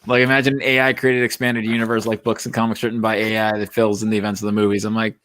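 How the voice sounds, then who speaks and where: A man's voice: fairly low pitch, little pitch movement, quick pace, a bit bright, slight background noise. A man chatting casually with a jokey manner in group banter.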